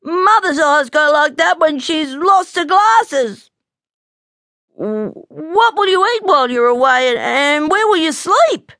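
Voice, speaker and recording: A timid, well-behaved little bear cub from a children's storybook, put on by a woman reader. The voice sits very high and swoops about, anxious and wistful. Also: very animated, moderate pace, few pauses, fairly bright tone, dry recording, clean studio sound, exaggerated voice. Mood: tearful, brave. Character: timid, good little boy koala bear.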